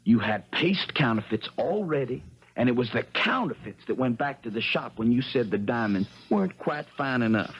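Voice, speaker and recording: Folksy sharp-witted young guy, bit raspy, 70s radio mystery, muffled sound. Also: mid-range voice, expressive, normal speed. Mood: stern, needling. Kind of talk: 1970s American radio drama acting, first-person storytelling and dialogue. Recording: dull 1970s radio broadcast recording.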